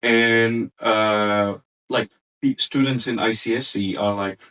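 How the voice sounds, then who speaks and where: A man's voice: on the low side, fairly even intonation, slow pace, bright, forceful tone, recorded from a distance. A young man from India, with Punjabi as his first language, co-hosting a podcast in rambling casual chat full of fillers and restarts. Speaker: podcast co-host. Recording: roomy, from off the mic.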